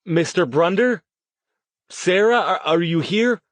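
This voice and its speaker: A man's voice: fairly low pitch, big pitch swings, a little fast, dry recording. A man voicing a vain, hot-tempered ghost-show host with a projected, theatrical delivery, in an audiobook. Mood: theatrical, calling out.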